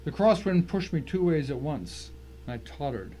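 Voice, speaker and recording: A man's voice: fairly high pitch, sing-song, moderate pace, warm tone, rough recording. A man playing a tense, determined man who narrates his own ordeal in a dramatic first-person story, with noise behind him. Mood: tense, suspenseful. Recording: clear background noise.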